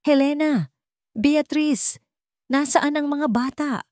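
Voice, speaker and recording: Anxious rich dad, played by a female narrator, urgent and commanding, raised pitch, dry audio drama sound. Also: slow pace, darkish tone, no echo. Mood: frantic, commanding. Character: anxious wealthy father searching for his twins.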